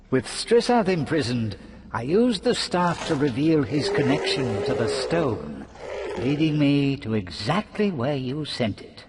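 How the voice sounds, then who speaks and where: An actor playing a gleeful, taunting trickster villain in a video game, with mocking, theatrical relish. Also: male voice, medium pitch, background noise. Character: gleeful, taunting male trickster schemer holding court.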